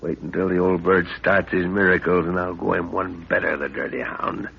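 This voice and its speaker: A man acting a middle-aged, commanding, vengeful father who leads a jungle expedition in a 1930s radio adventure, gruff and authoritative. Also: sits low, level tone, normal speed. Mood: grimly vengeful. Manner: gruff, authoritative, clipped orders. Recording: muffled, noisy 1930s radio recording.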